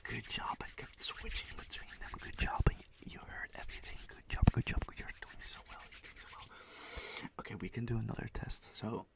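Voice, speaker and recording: A man whispering and speaking softly in a gentle ASMR roleplay as a music teacher, repeating words slowly and reassuring the listener. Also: lowish voice, flat delivery, vocal fry.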